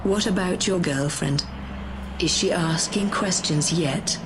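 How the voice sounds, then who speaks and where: A woman's voice: lowish voice, takes it slowly, noisy background. A woman playing a bossy, menacing, power-hungry conspirator in a sci-fi audio drama. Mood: calculating.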